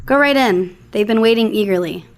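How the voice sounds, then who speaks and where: A woman's voice: medium pitch, fairly quick, lightly acted. A woman playing a friendly, concerned middle-aged receptionist in an audio story. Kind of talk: audio fiction character reading. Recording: music behind the voice.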